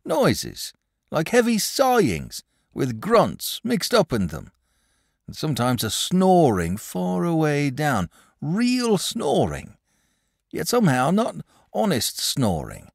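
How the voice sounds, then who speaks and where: Man playing a nervous rustic shepherd, middle-aged, rambling, wide swooping pitch, dry close sound. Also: takes it slowly, gravelly, dry recording, full character voice. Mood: uneasy. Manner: rustic country speech, excitable.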